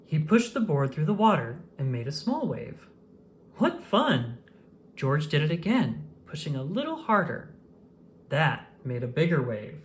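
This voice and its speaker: A woman reading a children's picture book aloud in an expressive storytelling manner. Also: deep voice, measured pace. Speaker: storybook reader. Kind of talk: children's read-aloud narration.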